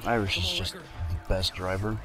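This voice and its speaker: Deep-voiced man trading dry quips. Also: normal speed, music in the background. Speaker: guest co-commentator. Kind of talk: casual gameplay commentary.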